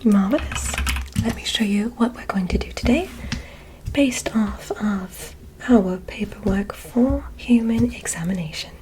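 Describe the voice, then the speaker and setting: A woman playing a polite, curious female alien medical examiner in an ASMR roleplay, in a soft breathy whisper with formal, stilted phrasing. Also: mid-range voice, noisy background, lightly acted. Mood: courteous, curious. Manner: formal, oddly stilted, deliberate, reassuring.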